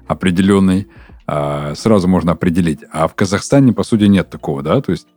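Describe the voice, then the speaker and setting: Low-voiced man hosting a podcast. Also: brisk, dry recording. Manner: relaxed, prompting questions, colloquial. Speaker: podcast host. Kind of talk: casual interview talk.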